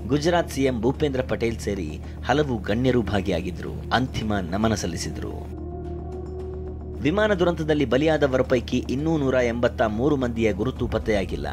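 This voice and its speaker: A man reads scripted news narration as a voice-over reporter. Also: mid-range voice. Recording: loud music bed behind voice. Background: prominent news background music.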